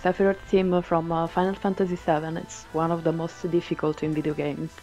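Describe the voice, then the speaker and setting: A woman's voice: low voice, level tone, brisk, warm tone. A woman from Italy with an Italian accent, chatting casually as a guest in an interview. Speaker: podcast guest, violinist. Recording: dull lo-fi call, music underneath. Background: music.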